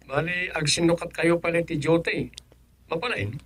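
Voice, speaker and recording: A man plays a village chairman in a radio comedy. He is brisk, businesslike and respectful, speaking with an Ilocano accent. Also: on the high side, a bit bright, breathy edge. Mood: brisk, courteous.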